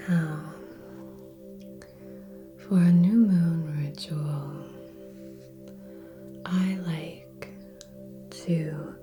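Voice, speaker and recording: A woman speaking soft, breathy ASMR in a near-whisper, gently hosting with long pauses between short phrases. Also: low voice. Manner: slow, gentle, hushed delivery with long pauses. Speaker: ASMR channel host. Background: relaxing music with singing bowl tones.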